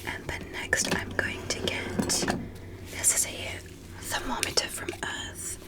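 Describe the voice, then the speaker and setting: A whispered ASMR roleplay of a curious alien doctor, played by a woman. The delivery is slow, gentle and careful. The alien stays polite and clinical, examining a human with deliberate precision. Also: lightly acted.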